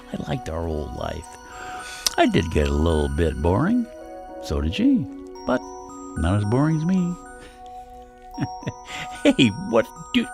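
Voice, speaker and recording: An actor playing a worn-out, wry older husband and father in an audio comedy, speaking in halting, exclamatory bursts. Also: mid-range voice, vocal fry, full character voice. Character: exasperated, worn-out older husband and father, wryly self-deprecating. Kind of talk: stage-comedy audio drama acting.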